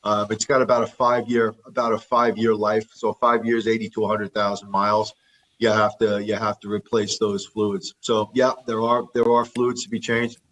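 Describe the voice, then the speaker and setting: A man's voice: fairly low pitch, little pitch movement, moderate pace, a bit bright, low-quality recording. A middle-aged man with a New York accent, talking as a guest from his repair shop. He is practical and plain-spoken, often doubling words while he thinks. There is faint noise behind his remote line. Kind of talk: remote interview.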